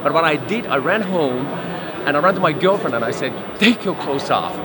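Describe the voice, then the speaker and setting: A man's voice: on the high side, expressive, a little fast. A middle-aged man from Finland, a photographer telling stories in an interview on a busy trade show floor. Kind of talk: casual interview storytelling. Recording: loud crowd noise behind.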